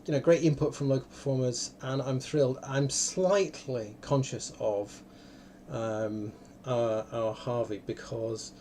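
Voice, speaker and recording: A man hosting an online live event in a casual, unscripted way, often halting and interrupting himself. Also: mid-range voice, a little mellow, slight background noise. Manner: rambling, halting, self-interrupting. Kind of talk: casual, unscripted livestream talk. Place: indoor room, online video call.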